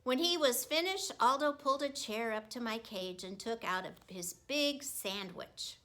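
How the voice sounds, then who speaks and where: A woman reads a children's story aloud in a bright, somewhat high voice. She takes her time, with deliberate, expressive phrasing. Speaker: teacher reading a story.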